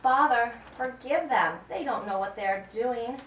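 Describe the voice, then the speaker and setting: A woman's voice: fairly high pitch, measured pace, a bit bright, muffled recording, pitched above the performer's own voice. A woman voicing Jesus as an earnest, commanding man in a Bible puppet play, with solemn, emphatic delivery. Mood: anguished. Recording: roomy, distant mic with faint noise.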